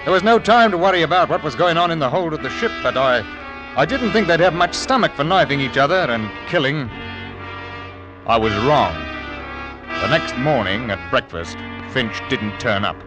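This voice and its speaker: A man's voice: fairly high pitch, expressive, normal speed, lightly acted, low-quality recording. Grave ship's captain played by a man, music behind. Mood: grave, haunted. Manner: dramatic, grave first-person storytelling. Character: stern, anxious ship's captain of the 1700s. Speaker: actor narrating and playing the captain. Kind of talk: old-time radio drama acting. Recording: old radio recording with music behind.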